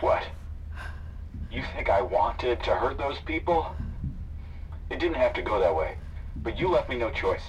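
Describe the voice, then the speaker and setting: A man's voice: medium pitch, animated, a little slow, long pauses, dull audio, close to an everyday voice. An actor playing a menacing, coldly persuasive male pursuer who talks with insistent, condescending control in an audio drama. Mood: coldly accusing. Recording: distant, noisy vehicle radio.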